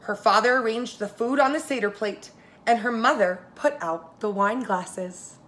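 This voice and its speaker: A woman's voice: a little high, measured pace. Woman reading a storybook, noisy recording. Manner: expressive, talks directly to the listening children. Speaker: preschool teacher reading stories to children. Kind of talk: storybook read-aloud addressed to young children.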